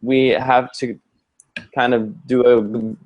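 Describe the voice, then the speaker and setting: A man from Canada whose first language was German speaks as a podcast guest. His voice sits at a middle pitch. He muses aloud, often repeating a word while he finds the next thought. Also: flat delivery, very slow, muffled recording. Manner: musing, repeats words as he gathers thoughts. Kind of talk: conversational podcast interview.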